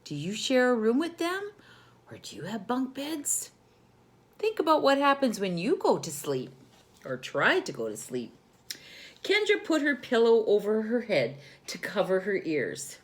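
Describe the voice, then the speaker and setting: Slow, very animated woman reading to children. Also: on the high side. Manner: expressive, teacherly, often addressing the young listeners directly. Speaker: storyteller reading a picture book to children. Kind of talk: children's read-aloud with questions to the listeners.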